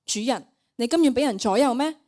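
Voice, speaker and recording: A woman's voice: a little high, lively intonation, a little fast, darkish tone, natural voice. A teenage girl with a Hong Kong Cantonese accent voicing a devoted, deferential magic mirror that counsels its owner in a student radio play. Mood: earnest counsel. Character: devoted magic mirror, flattering yet wise servant. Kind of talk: student radio drama acting.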